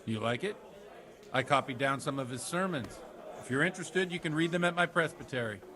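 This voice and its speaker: An actor playing an outspoken, hard-drinking, rebellious medieval village priest in a video game, opinionated and jovial. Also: male voice, a little high, expressive, a little fast, sharp tone. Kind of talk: video game cutscene acting.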